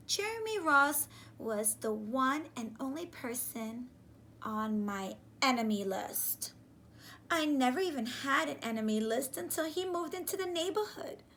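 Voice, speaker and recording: A high-voiced woman reads a picture book to young children as their teacher would. Her delivery is slow and very animated, and she pauses to put questions to her listeners. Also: slight background noise. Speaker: teacher reading aloud to pupils. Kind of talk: children's storybook read-aloud. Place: small furnished room indoors, seated on sofa.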